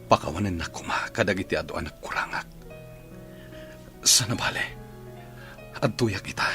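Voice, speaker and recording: A man's voice: deep voice, moderate pace, bright, forceful tone, soft background music. A man playing a remorseful husband in a radio drama, speaking in an earnest, pleading way. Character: remorseful husband begging forgiveness.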